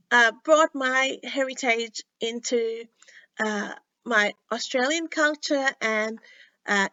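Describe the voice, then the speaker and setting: Higher-pitched woman, unhurried craft tutorial. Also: fairly bright tone, dry recording, dull audio. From Sri Lanka. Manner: unhurried, with frequent ums and uhs. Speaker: cardmaking demonstrator.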